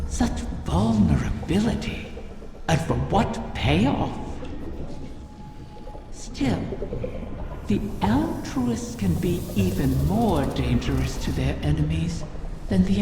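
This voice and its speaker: A woman voicing an ancient, cunning, predatory creature in a video game, musing in a sly, deliberate way. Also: fairly low pitch, creaky, background noise, heavily acted. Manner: slow, deliberate, musing delivery. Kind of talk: scripted video game monologue. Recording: cavernous long echo, music underneath.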